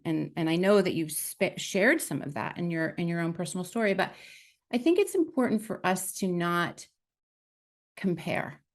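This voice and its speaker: A woman hosting a podcast, explaining her view thoughtfully and affirmingly, often checking in with "right?". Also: on the low side. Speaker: podcast host. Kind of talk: conversational podcast commentary.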